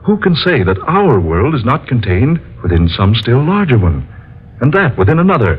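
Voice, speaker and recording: An actor playing a solemn male inventor who explains his discovery in a slow, lecturing way, in a 1940s radio drama. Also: mid-range voice, big pitch swings, lightly acted. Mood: explaining. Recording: lo-fi old radio recording with faint hiss.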